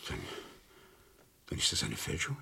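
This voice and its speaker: A man's voice: a little slow, pushed and bright. An actor playing an anxious, courteous older colonel in a German crime radio play, hesitant and agitated, repeating his words. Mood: shocked, agitated. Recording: noisy vintage radio drama recording.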